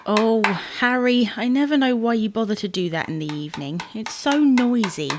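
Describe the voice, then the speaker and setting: A woman's voice: on the high side, expressive, normal speed, background noise, natural voice. An actress playing a matter-of-fact, defensive wife in a comedy audio drama, needling her husband with dry, pointed retorts. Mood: tart.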